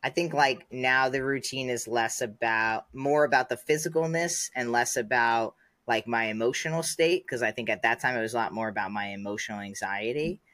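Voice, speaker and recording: A man's voice: mid-range voice, moderate pace, hardly any pauses, sharp tone, no room sound. Rambling man in his thirties, dry sound. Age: 30s. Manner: rambling, many "like" fillers. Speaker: podcast guest. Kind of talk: casual chat.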